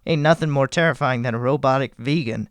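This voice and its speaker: A man's voice: medium pitch, quick pace, clean tone, dry recording, clean studio sound, natural voice. A man voicing a sardonic, gloomy, mischievous comedy podcast sidekick with a theatrical, needling way of talking. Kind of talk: comic character banter on a podcast.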